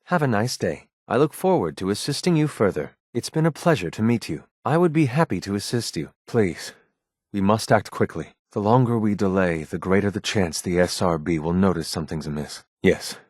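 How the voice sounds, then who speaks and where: An actor voicing a guarded, earnest male android rebel leader in a video game, with formal, measured, grave speech and a husky voice. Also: on the low side, expressive, a little mellow, dry recording, studio quality. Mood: polite, blank, then urgent, guarded. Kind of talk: scripted game character dialogue.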